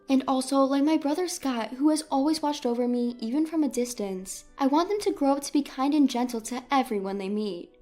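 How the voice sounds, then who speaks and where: A woman's voice: sits high, a little mellow. A woman reading a scripted first-person story as a dramatic narrator.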